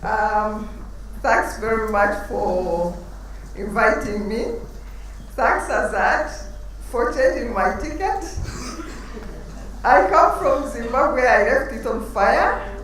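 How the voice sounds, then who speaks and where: A woman giving a lively, storytelling conference talk with emphatic asides. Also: fairly high pitch, slow pace, long pauses, a little hiss or hum. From Uganda. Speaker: conference panelist. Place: large conference hall. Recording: roomy hall, speaker far from mic.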